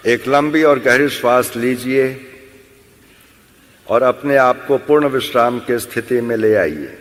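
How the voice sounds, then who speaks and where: A man with a mid-pitched voice guides a meditation very slowly. He gives measured instructions and leaves long pauses between phrases. His voice rings in a long, hall-like reverb. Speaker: yoga nidra instructor.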